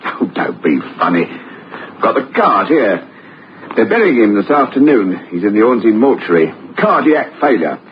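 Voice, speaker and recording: A chatty British policeman from an old radio play. He is nosy and matter-of-fact, with a very varied pitch. The recording sounds dull and slightly noisy. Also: big pitch swings, normal speed.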